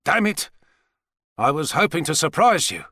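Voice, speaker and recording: A man's voice: on the high side, very animated, moderate pace, pushed and bright, dry recording, clean studio sound, voice pushed up, exaggerated voice. A man voicing a brash, megalomaniac president with a boastful, folksy manner in an audiobook thriller. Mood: irritated. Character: American president, brash, heavy-set, megalomaniac schemer.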